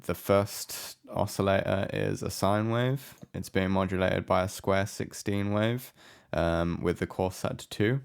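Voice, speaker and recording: A man giving a casual, unscripted software walkthrough as a tutorial presenter, low-key and hesitant in manner. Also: vocal fry, smooth. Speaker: music production tutorial presenter.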